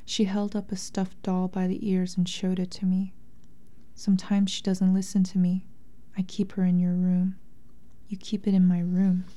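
Soft, very mellow woman, evenly pitched. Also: completely flat delivery. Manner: hesitant, thoughtful, measured. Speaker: interviewed author and translator. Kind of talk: reflective literary interview talk and reading aloud from a memoir.

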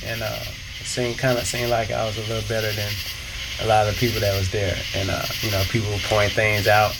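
A man's voice: on the low side, fairly quick. Laid-back man rapper, noisy. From South Carolina, US. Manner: relaxed, rambling, many fillers and restarts. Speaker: rapper and songwriter. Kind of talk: casual interview answers. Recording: loud background noise.

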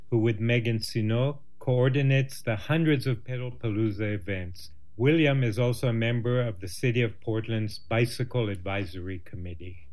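A man hosting a radio show, introducing segments and guests in a measured, clear way. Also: mid-range voice, a little mellow.

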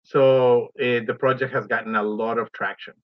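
A man from Ecuador, a university professor, explaining his work as a radio interview guest in a halting, pause-filled way. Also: medium pitch, fairly even intonation, no echo, dull audio.

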